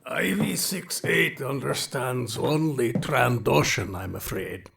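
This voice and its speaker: Gravelly, courtly alien aristocrat. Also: male voice, medium pitch, animated, background noise, exaggerated voice. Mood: courtly welcome. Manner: formal, courtly, deliberate, theatrical. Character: towering male reptilian alien aristocrat, genteel, sinister hunting host. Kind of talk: space adventure audio drama acting.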